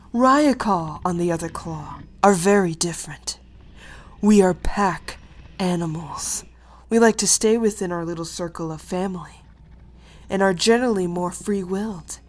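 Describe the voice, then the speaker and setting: A woman playing a smug, calculating dragon queen in an animated fantasy, speaking in a theatrical, mock-polite way. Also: lowish voice. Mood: smug, deliberate. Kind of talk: animated fantasy drama acting.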